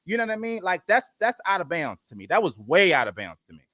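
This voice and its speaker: Bright-voiced man in casual chat. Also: sits high, very animated, quick pace, dry recording, studio quality. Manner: conversational, laid-back, repeats "you know what I mean". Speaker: podcast co-host; married partner of co-host. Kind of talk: casual reality TV review chat.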